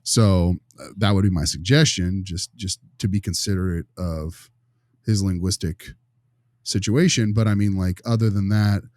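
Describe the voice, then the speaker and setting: A man's voice: low voice, fairly even intonation, normal speed, a little mellow, dry recording. A man speaking casually and colloquially as a podcast panelist, with a wry, wordy way of talking. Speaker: podcast panelist, behavior specialist. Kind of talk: casual discussion and case role-play.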